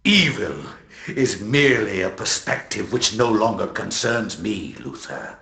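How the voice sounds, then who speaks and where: An actor playing a sinister, gloating inquisitor and cult leader in a video game, theatrical and menacing, with a deep, gravelly delivery. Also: male voice, expressive, measured pace, a bit bright, heavily acted. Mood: gloating menace. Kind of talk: scripted video game cutscene acting. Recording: echoey game audio with music.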